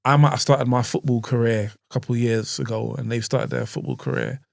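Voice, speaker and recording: A man's voice: medium pitch, steady tone, a little fast, gravelly, dry recording, clean studio sound. A young man, co-hosting a podcast and talking in a laid-back, reflective way with plenty of slang. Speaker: podcast co-host. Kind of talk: casual podcast chat.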